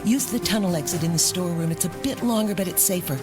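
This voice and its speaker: An actress playing a cool, brisk young secret agent in a 1990s radio drama. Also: female voice, on the low side, music in the background, lightly acted. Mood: brisk, instructing.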